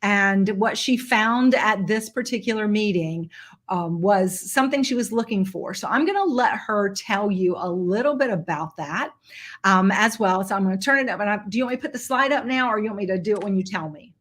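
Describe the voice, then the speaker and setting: A middle-aged woman hosts a sales meeting over a video call. She talks in a chatty, rambling way full of ums and restarts, at a middle pitch. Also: expressive, fairly quick. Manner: chatty, warm-hearted storytelling with fillers. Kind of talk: casual introduction on a video call.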